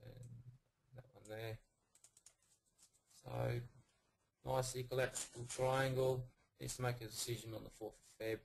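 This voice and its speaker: A man with a gravelly, fry-laden voice gone husky from overuse talks a trading room through market charts. He halts often, fills gaps with ums, and points from one level to the next. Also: medium pitch, level tone, rough recording. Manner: halting, with many fillers and pointing phrases. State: hoarse, losing his voice. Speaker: forex trading room presenter. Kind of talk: live chart analysis talk.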